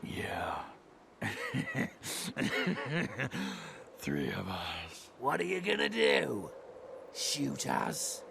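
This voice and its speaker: Creepy bloodthirsty vampire goon for a game, super fried voice, draggy n swinging all over. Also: male voice, mid-range voice, huge pitch swings, drawn-out words, gravelly, fairly bright tone, slight background noise, exaggerated voice. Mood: jeering. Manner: menacing, jeering, erratic. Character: bloodthirsty, gleefully cruel male vampire henchman. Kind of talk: video game voice acting.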